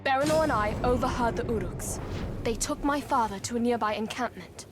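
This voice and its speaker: A woman's voice: on the high side, fairly quick, over loud music, lightly acted. An actress playing a determined young warrior woman in a video game, earnest and resolute. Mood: urgent, determined. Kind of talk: video game cutscene acting.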